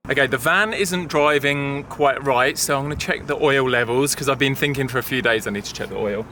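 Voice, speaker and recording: Young male vlogger from England, relaxed and curious, mid-pitched, music under the voice. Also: brisk. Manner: laid-back, curious remarks. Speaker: travel vlogger. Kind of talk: casual vlog commentary. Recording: music playing clearly behind the voice.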